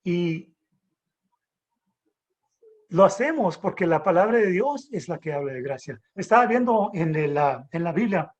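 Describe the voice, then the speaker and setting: A middle-aged man from the Texas border, raised in San Antonio, teaching the Bible informally over a video call, halting with restarts and rhetorical questions. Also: sits high.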